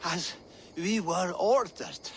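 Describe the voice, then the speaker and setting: A taunting viking prisoner, slightly high voice, really slow, sly and insolent, video game. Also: male voice, fairly bright tone, a little hiss or hum. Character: captured male Norse raider, wheedling yet insolent. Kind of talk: video game dramatic acting.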